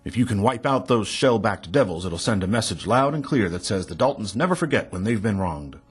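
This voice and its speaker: An actor playing a gruff man from an island town in a video game, delivering scripted dialogue. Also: on the low side, lively intonation, brisk. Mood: determined.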